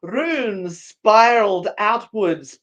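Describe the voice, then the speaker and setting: A woman's voice: medium pitch, lively intonation, very slow, highs cut off. A woman hosting and narrating a dramatic story read in a theatrical, playful way, with casual banter. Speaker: host and narrator.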